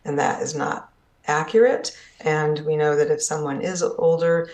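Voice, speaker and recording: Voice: mid-range voice, fairly even intonation, a little slow, darkish tone. A conference presenter giving an earnest, explanatory talk on ageism, often checking in with the audience by asking "right?". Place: summit session at an events complex.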